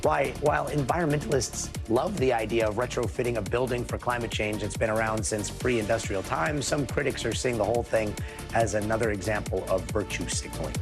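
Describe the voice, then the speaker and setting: A hoarse man anchoring a news show, reading stories with playful puns in between. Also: mid-range voice, brisk, over music. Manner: punny, playful asides. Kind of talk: news presenting.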